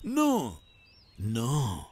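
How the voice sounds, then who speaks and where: Scheming, gloating cartoon villain. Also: male voice, medium pitch, wildly swooping pitch, darkish tone, husky, exaggerated voice. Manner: theatrical, drawn-out delivery with evil chuckles. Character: scheming gloating villainous rabbi, male plotter. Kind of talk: animated cartoon villain acting.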